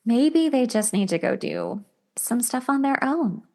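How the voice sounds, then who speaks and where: Casting: female podcast host, slightly high voice, chatty explainer who talks through relatable examples conversationally. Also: lively intonation, a little slow, a little mellow. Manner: conversational, acts out imagined dialogue as examples. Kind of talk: casual explanatory self-help talk.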